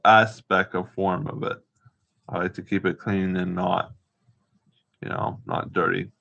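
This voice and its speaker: A man with a fairly low, heavily creaky voice talks casually to his viewers as an amateur vlogger. He rambles and gropes for words, often circling back over what he said. His tone is bright and forceful, though the home recording sounds a bit muffled. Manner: halting, searching for words, repeating himself. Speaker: amateur vlogger showing gear. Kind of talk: casual rambling show-and-tell to camera.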